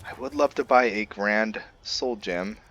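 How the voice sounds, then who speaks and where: A man with a fairly deep voice talks through his game at a very unhurried pace. He is laid-back and casual, musing aloud as a let's-play host does. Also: a bit bright. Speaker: host of a video game roleplay series.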